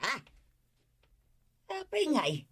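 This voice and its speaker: A fretful village wife fusses and exclaims in an Ilocano accent. The voice is very high and bright, pitched for radio comedy. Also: female voice, huge pitch swings, slow, slightly rough, heavily acted.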